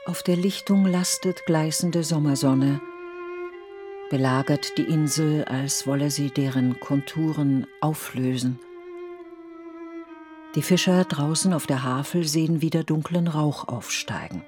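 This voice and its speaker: Narrator for literary radio drama: measured, poetic, mid-range voice over soft music. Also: medium pitch.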